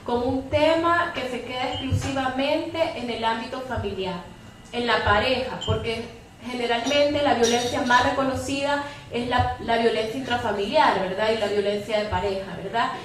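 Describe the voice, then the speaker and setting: A woman's voice: on the high side, fairly even intonation, normal speed. A woman giving spontaneous, explanatory panel commentary, often closing phrases with a tag question. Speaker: panelist from a women's rights organization. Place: echoey room at a public debate. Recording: very echoey room, distant mic, faint noise.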